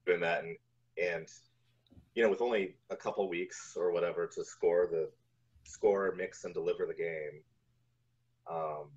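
Low-voiced man, muffled video-call sound. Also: fairly even intonation, unhurried, pauses often. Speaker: guest, composer. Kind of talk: casual interview storytelling. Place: room at home, indoors, on a video call. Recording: dull, lo-fi video-call audio.

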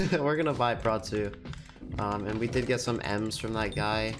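A teenage boy with a stuffy nose from a cold, chatting casually and cheerfully while commentating his gameplay at a desk microphone. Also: lowish voice. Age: teens. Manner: chatty, upbeat, quick laughs. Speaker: gaming YouTuber. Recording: music playing under the voice. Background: background music and keyboard typing.

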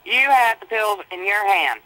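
A woman's voice: mid-range voice, expressive, normal speed, a bit bright, dry recording, poor audio. A mother on the phone with her jailed daughter, patient and reassuring, explaining the court plans and calling her baby. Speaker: mother of the inmate. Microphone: telephone.

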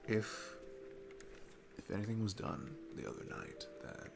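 A man playing a shy, sweet single dad smitten with his babysitter, speaking gently and haltingly in a romantic ASMR roleplay. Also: fairly low pitch, vocal fry, loud music behind the voice. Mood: earnest, tender.

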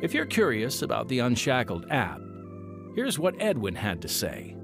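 An American man reads scripted ministry narration as a radio host. His pitch swings expressively through earnest, measured phrases, and music plays behind his voice. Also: wildly swooping pitch, gravelly. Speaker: radio show host and announcer.

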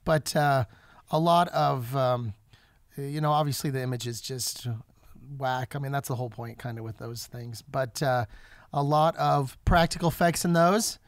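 A man hosting a podcast interview on location, chatting in a relaxed, teasing way. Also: mid-range voice, moderate pace, no echo, studio quality. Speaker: podcast host. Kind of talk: casual interview chat. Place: guest's studio, on location.

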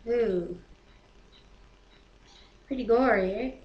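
A woman's voice: on the high side, very slow, highs cut off. A middle-aged woman with a North American accent, reading scripture aloud and commenting casually in a living room. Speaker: co-host of a home Bible reading. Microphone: webcam at about arm's length. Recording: roomy echo, noisy home webcam audio.

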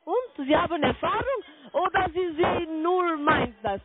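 A woman with a foreign accent in German on a sales call, halting and insistent with her questions. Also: extremely high pitch, big pitch swings, very noisy. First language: not German. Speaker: call center sales agent. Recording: narrow-band phone line.

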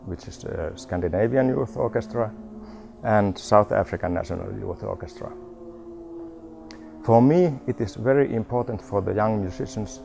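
Man, middle-aged, orchestra coach; low, gravelly, earnest, music bed beneath. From Finland. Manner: measured and earnest, with hesitations. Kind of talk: documentary interview.

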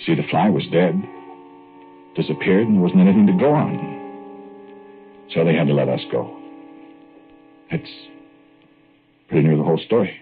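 An actor playing a folksy American small-town fellow in a 1940s radio horror drama, speaking in loose, ungrammatical everyday talk. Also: male voice, low-pitched, lively intonation, soft background music. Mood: subdued, matter-of-fact. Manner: colloquial, ungrammatical, halting storytelling. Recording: dull, noisy old radio recording.

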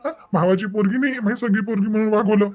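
Guy doing an angry hurt dad in a cartoon family drama, high voice, keeps hammering his point. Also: fairly even intonation, quick pace, darkish tone. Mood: angry, stubborn. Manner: emphatic, repetitive, indignant. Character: angry, wounded middle-aged father nursing a family grudge. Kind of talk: Marathi animated family drama dialogue.

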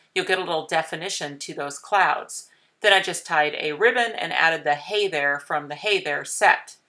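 A woman in her thirties calmly walking viewers through a papercraft project step by step, in a gentle, patient, explanatory way. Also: sits low. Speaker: craft tutorial host. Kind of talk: calm step-by-step craft demonstration.

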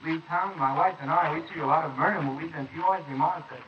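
A man's voice: fairly high pitch, fast, highs cut off, close to an everyday voice. A man as a rambling chatty witness. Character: rambling, talkative middle-aged neighbor witness. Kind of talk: 1940s radio acting. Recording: distant roomy hissy 1940s wire recording.

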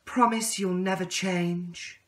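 A man's voice: high voice, steady tone, takes it slowly, fairly bright tone, far from the mic, pitched above the performer's own voice. A man voicing a sweet but reproachful young female caterpillar, lovestruck and demanding, in a children's storybook. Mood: adoring.

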